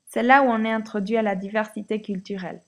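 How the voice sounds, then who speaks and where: A woman reading a scripted, reflective voice-over as a student presenter, in a measured way. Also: medium pitch, steady tone.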